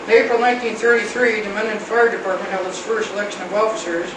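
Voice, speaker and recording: A high-pitched American man reads a prepared history aloud to a meeting. He is heard from a distance in an echoing room, on an old reel-to-reel tape with clear background noise. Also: fairly even intonation, fast talker. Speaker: fire company captain presenting a history. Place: meeting room with a small audience.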